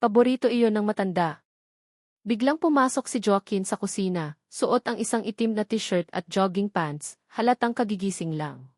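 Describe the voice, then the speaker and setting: A woman's voice: medium pitch, lively intonation, normal speed, darkish tone, no echo. Woman telling a romance story, dry and close. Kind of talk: scripted dramatic narration.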